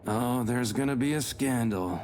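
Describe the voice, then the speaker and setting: A man playing a practical gentleman official in a period visual novel, with a plain, businesslike delivery. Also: mid-range voice, moderate pace, soft background music, natural voice. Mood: wary, polite. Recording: clear background noise and ambience.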